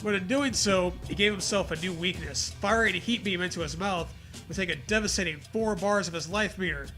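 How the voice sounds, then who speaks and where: A man with a high, very bright voice reads a story aloud as a host. His delivery is dramatic and slips into crude, joking chat. Faint music plays behind him. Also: fairly quick. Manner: profane, jokey, animated reactions.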